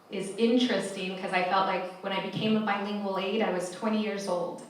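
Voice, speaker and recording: Woman panelist, first language spanish, heartfelt and earnest, sounds far off in a big echoey hall. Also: mid-range voice. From California, USA. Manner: earnest and heartfelt, many restarts. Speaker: panelist, math educator. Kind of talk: panel discussion. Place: large echoey event hall.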